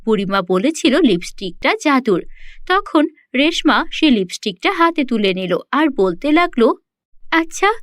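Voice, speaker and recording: A woman's voice: on the high side, big pitch swings, unhurried, dry recording, studio quality. A woman storyteller reading a scripted fairy-tale narration for children.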